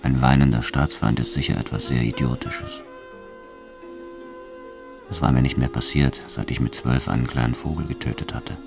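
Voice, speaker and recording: An actor playing a hardened, world-weary gangster who recounts his crimes gravely and deliberately in a radio drama, with a gravelly voice. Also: extremely low pitch, steady tone, music in the background. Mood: sombre, subdued. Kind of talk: first-person radio drama narration with acted dialogue.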